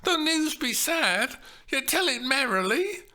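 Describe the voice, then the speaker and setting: A man's voice: sing-song, slow, bright, forceful tone, exaggerated voice. A man doing a frantic young woman reading Juliet. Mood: pleading. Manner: breathless, pleading, high.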